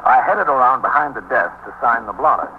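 A male actor as a calm police captain in an old radio drama. He speaks in a measured, official, matter-of-fact way at a medium pitch. The sound is narrow and muffled, with light hiss. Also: natural voice. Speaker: lead actor and narrator. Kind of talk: 1950s radio police drama acting and narration. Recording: muffled, noisy 1950s radio recording.

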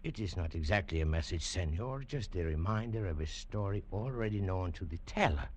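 An actor playing a courteous, scholarly male museum curator, speaking in a measured, deliberate way in a 1950s radio drama. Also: deep voice, expressive, a little mellow, husky. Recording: dull old radio broadcast.

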